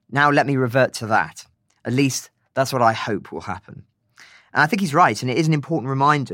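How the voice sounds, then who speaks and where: A man presents news analysis in an articulate, earnest voice, quoting and commenting as he goes. His pitch sits in the middle, and the sound is dry and close, with almost no room in it. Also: a bit bright, dry recording. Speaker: podcast host.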